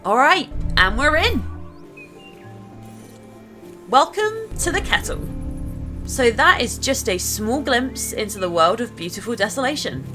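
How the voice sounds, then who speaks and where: A woman's voice: a little high, sing-song, a little slow, long pauses, over music. A woman narrating a gameplay video from a script, informal and chatty as she addresses viewers.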